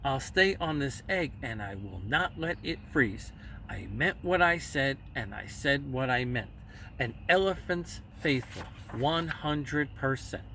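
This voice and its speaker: A man voicing a huge, gentle, faithful storybook elephant who speaks earnestly and deliberately. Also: mid-range voice, expressive, a little hiss or hum, rough recording. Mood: steadfast.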